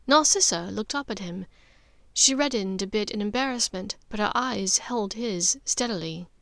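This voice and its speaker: A woman's voice: mid-range voice, normal speed, vocal fry, a bit bright, dry recording. A woman reading scripted fiction as an audiobook narrator.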